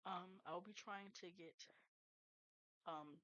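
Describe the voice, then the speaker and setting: A woman's voice: lowish voice, fairly even intonation, slow, fairly bright tone, no echo, lo-fi sound. A young woman hosting a livestream podcast, talking in an earnest, rambling, heartfelt way with casual swearing. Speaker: streamer and podcast host.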